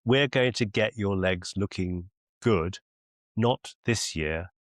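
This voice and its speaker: A man's voice: low-pitched, slow, no echo, clean studio sound. A middle-aged man mentoring in a conversational, reassuring, explanatory way. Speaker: medical mentor.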